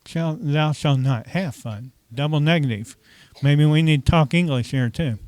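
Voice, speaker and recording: Man, pastor, conversational sermon, many questions to the congregation, smooth clear voice, dry sound. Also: fairly high pitch, moderate pace, a little mellow, dry recording. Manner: conversational, frequent "okay" and rhetorical questions.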